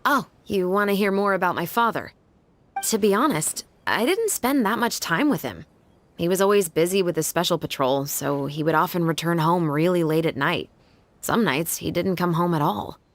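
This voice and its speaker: A woman playing a resolute, composed young patrol officer in a video game, speaking in an earnest, measured, formal way. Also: fairly low pitch, lightly acted. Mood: reflective. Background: background music.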